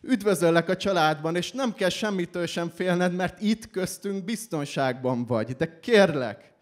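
A man's voice: sits high, expressive. A young man from Hungary reciting slam poetry live with an emphatic, dramatic delivery. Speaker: slam poet performing.

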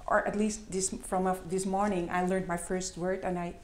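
A woman's voice: fairly low pitch, level tone, moderate pace. A Dutch woman in her forties with a Dutch accent, giving a chatty conference talk in English full of fillers and restarts. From Netherlands. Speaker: presenter. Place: large lecture hall with windows. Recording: echoey hall.

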